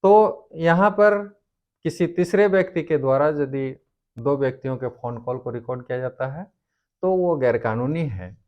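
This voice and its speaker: A man giving a formal explanatory lecture on law, speaking deliberately in short, halting phrases as a presenter. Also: medium pitch, muffled recording.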